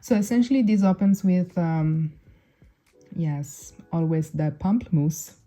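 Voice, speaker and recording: A woman's voice: lowish voice, a little slow, warm tone. A young woman whose first language is Italian, chatting casually to camera as a perfume reviewer, with many restarts and fillers. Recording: dull lo-fi sound.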